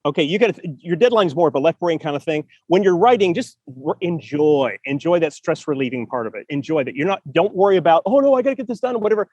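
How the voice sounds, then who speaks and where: A man's voice: fairly high pitch, darkish tone, dry recording, highs cut off. A man hosting a podcast, giving encouraging writing advice in casual chat, often restarting phrases and laughing. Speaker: podcast host.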